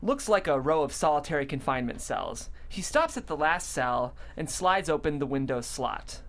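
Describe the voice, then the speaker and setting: A woman with a very lively, swinging delivery reads stage directions. She is the narrator and host of a comedy table read. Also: low voice, moderate pace.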